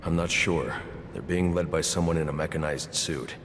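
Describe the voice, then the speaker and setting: An actor playing a grave, protective hero in a video game, speaking in a terse, serious, controlled way. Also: male voice, rumbling low, steady tone, normal speed, slight background noise. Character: grave, protective billionaire, secretly a masked vigilante. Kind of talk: video game cutscene acting.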